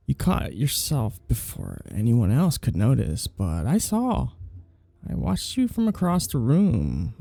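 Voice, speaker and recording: Man playing a dark, velvety, predatory vampire lord. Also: mid-range voice, vocal fry, no room sound. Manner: slow, teasing, condescending purr with drawn-out phrases. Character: suave, predatory male vampire lord, teasing and menacing. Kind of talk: ASMR roleplay, intimate scripted monologue.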